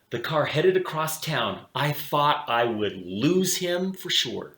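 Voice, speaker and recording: A man reading a children's picture book aloud expressively, with theatrical delivery and deliberate dramatic pauses. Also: mid-range voice, a bit bright. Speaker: storybook reader for children. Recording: roomy, echoing room sound.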